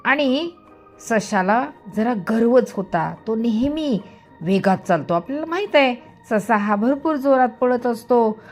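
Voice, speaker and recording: Slow-paced woman telling children's stories. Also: fairly high pitch, animated, darkish tone. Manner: drawn-out, repeated words for emphasis. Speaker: children's storyteller. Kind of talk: expressive storytelling for young children. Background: background music.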